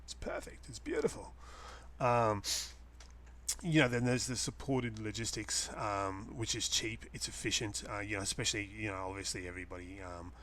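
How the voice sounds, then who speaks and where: A man with an Australian accent giving a casual, unscripted explanation to a webcam in a halting, rambling way, repeating phrases for emphasis. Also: fairly low pitch, fairly bright tone, a little hiss or hum. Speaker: host answering a viewer's question, online seller. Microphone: webcam setup.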